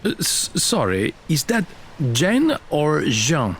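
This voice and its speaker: A man's voice: a little high, wildly swooping pitch, slow, a little hiss or hum, full character voice. A man playing a genial, probing middle-aged Air Force colonel in an audio drama, courteous and a little hesitant.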